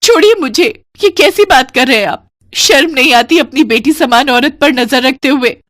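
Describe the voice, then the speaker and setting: A woman's voice: high voice, expressive, normal speed, fairly bright tone, pitched above the performer's own voice. A woman voicing a humble, kind young village housemaid in a cartoon story, polite and emotional. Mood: indignant.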